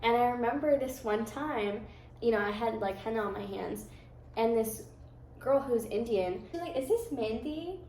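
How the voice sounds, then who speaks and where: A young American woman chatting casually, talking candidly and reflectively in a soft-spoken way. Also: fairly high pitch, expressive, moderate pace, reverberant, slight background noise. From United States. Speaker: guest. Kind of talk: casual chat.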